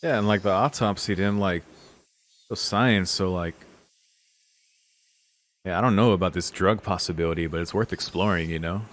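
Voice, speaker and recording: A man's voice: low voice, normal speed, long pauses, a bit bright. A man giving casual let's-play commentary, rambling and thinking aloud in slangy speech. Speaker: video host, game player. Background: game music.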